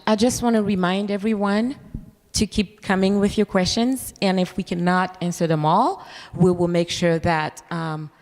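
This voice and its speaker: A woman's voice: lowish voice, a little mellow, big-room sound. A woman moderating a candidate forum, politely relaying questions and keeping things organized.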